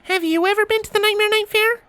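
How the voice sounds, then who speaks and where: A woman's voice: very high-pitched, fairly even intonation, fast, a bit bright, no room sound. A woman voicing a cheerful young female pony in an audio story, chirpy and giggly with a sweetly teasing, cooing manner. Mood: warm, curious. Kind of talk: fan fiction audio story character acting.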